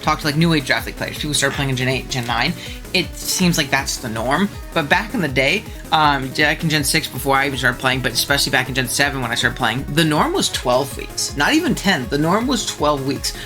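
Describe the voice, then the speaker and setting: A man's voice: medium pitch, fairly quick, music in the background. A young man hosting his channel, chatting casually and animatedly about viewer takes, a little stuffed up with a cold. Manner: chatty and animated, frequent ums and laughs. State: stuffed up, congested. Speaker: channel host.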